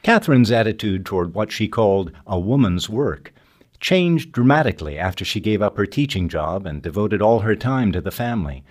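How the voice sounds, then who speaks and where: A man reading scripted documentary narration for a podcast in a measured, literary manner. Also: lowish voice.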